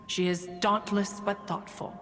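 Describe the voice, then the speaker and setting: A woman's voice: medium pitch, level tone, a little slow, a bit bright, close to an everyday voice. A woman voicing an ancient, proud, austere immortal in a video game, speaking in a formal, pompous manner. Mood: proud, matter-of-fact. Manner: formal, pompous, calls herself "one". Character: ancient, proud, haughty female immortal adeptus, austere, learned. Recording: long hall reverb over music.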